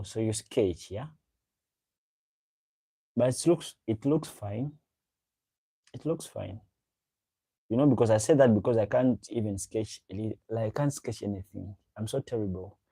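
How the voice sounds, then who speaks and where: A man's voice: lowish voice, a little slow, gravelly, long pauses, dark tone, slightly rough. A man hosting a livestream, chatting casually with viewers and reading out their comments, repeating himself as he goes. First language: a local African language. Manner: rambling, repeats himself, chats directly to viewers. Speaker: livestream host.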